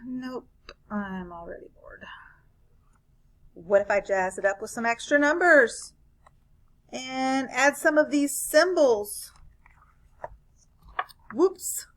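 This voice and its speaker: A woman's voice: high voice, slow pace, pauses often, a bit bright. A woman reading a children's picture book aloud as a grumpy, bored, reluctant narrator who complains expressively.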